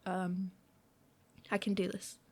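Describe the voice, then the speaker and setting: Flirty female roommate, played by a woman, ASMR roleplay. Unhurried, mid-pitched, teasing and a little hesitant. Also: completely flat delivery, warm tone. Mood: casual, unsure.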